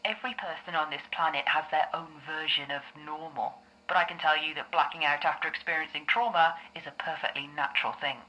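A woman playing a calm, reassuring therapist in an audio drama, speaking in a measured, explanatory way. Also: sits low, low-quality recording. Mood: calm, explaining. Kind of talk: scripted audio drama dialogue.

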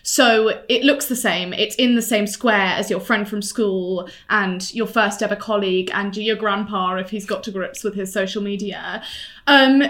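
A woman's voice: mid-range voice, normal speed, a bit bright. Chatty woman hosting a podcast. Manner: reflective, chatty, laughs often. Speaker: podcast host. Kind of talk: casual interview chat.

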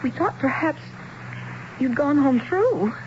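A woman's voice: high-pitched, lively intonation, takes it slowly. A woman playing a kindly, wistful, aged small-town woman in a 1970s radio drama. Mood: moved, wistful. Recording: dull, faintly noisy radio broadcast.

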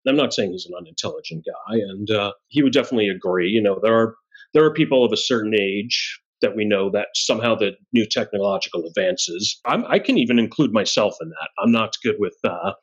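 Low, husky man, dull lo-fi sound. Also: animated, fairly quick. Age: 40s. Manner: self-deprecating, joking. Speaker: podcast host. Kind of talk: casual banter. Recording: dull, lo-fi audio.

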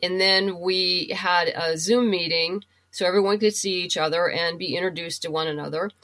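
A woman's voice: fairly low pitch, steady tone, normal speed, a bit bright, rough recording. A woman from the United States talking as a guest in a casual, conversational interview. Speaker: interview guest, writer and producer.

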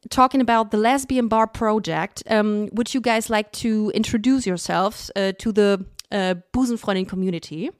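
A German woman with a German accent hosting a podcast in English, her second language, speaking in a halting, giggly way. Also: mid-range voice, dry recording, studio quality. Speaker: podcast host. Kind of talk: casual interview.

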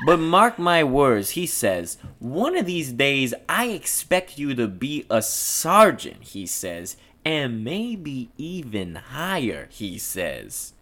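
A woman's voice: a bass voice, big pitch swings, takes it slowly, hardly any pauses, fairly bright tone, exaggerated voice. A woman reading the part of a boastful, loyal, dim male beat cop in a play, with folksy, self-important, ungrammatical speech. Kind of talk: script read-through of a play.

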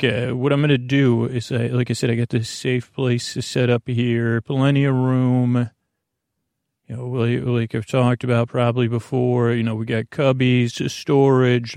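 A man with a creaky voice hosting a sleep podcast, giving a soothing, meandering, hesitant bedtime monologue that keeps drifting off on tangents. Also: medium pitch, darkish tone, dry recording, studio quality.

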